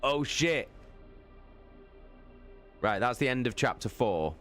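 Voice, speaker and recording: A man's voice: mid-range voice, expressive, takes it slowly, fairly bright tone, faint music behind. A man hosting a livestream in a casual, informal and sweary chat style. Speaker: livestream host.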